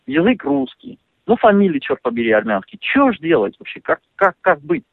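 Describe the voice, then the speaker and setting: A man talking over the phone, animated and indignant, full of fillers and restarts. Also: high voice, fairly quick, creaky, dry recording. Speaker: interviewee on a phone call. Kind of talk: casual phone conversation. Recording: dry tinny phone line.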